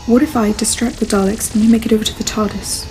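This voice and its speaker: High, soft-toned brave companion played by a woman. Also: flat delivery, quick pace, faint music behind, close to an everyday voice. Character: Romana, worried but brave female space-travelling companion. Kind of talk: fan audio drama acting.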